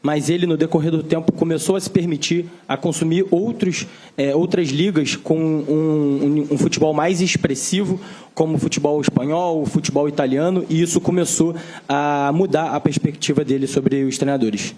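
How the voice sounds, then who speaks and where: A man, explaining his view in a measured way at a press conference. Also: fairly high pitch, steady tone, mellow.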